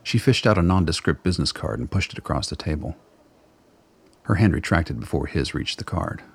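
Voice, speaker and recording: Very deep, gravelly male radio drama narrator. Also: animated, fairly quick. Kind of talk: scripted literary radio play narration.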